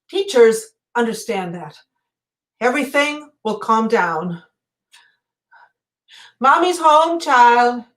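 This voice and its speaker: A woman's voice: sits high, fairly bright tone, reverberant, recorded from a distance, heavily acted. A woman acting a mother comforting her child, soothing and repeating her reassurances. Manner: soothing, repetitive. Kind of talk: dramatic acting.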